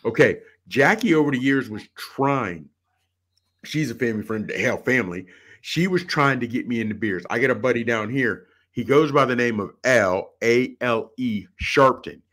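A middle-aged man with a mid-pitched voice chats casually as a panelist on a sports podcast. He talks informally and repeats his phrases for emphasis, speaking from a room over a video call. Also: moderate pace, a bit bright. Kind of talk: casual chat.

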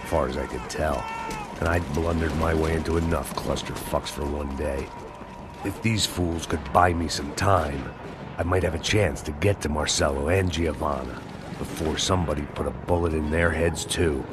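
Very deep, world-weary American noir antihero. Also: male voice, normal speed. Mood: grim, weary. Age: middle-aged. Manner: world-weary, sardonic, deadpan. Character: weary, bitter middle-aged male ex-cop antihero. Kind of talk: noir scripted narration. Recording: loud music behind the voice.

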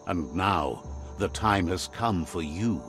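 A man narrating a game in a measured, solemn storytelling manner, retelling what a creature says. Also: deep voice, animated, music in the background.

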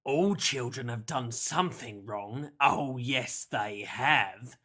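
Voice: medium pitch, expressive, slow pace, bright, forceful tone, voice pushed down, heavily acted. A narrator voicing a menacing, skinny grey man who sneers and growls as he gloats in a children's story. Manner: sneering, growling, repeats himself in gloating tags.

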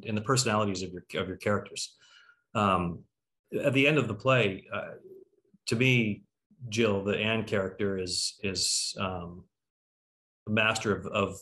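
A man's voice: on the low side, a bit bright. A middle-aged man hosting a conversational talkback over a video call, searching for words as he goes. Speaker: talkback host. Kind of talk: conversational panel moderating. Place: room at home, indoors.

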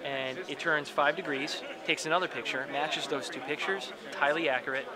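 A man's voice: fairly high pitch, fairly even intonation, normal speed. A man exhibitor explaining a scanning process step by step in technical terms. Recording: loud crowd noise behind.

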